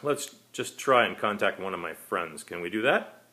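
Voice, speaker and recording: An earnest, grieving man acted out by a male reader speaks with deliberate emphasis and carefully neutral diction. He is a séance client in a story read aloud. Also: low voice, expressive, pushed and bright. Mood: earnest, courteous. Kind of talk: short story character reading.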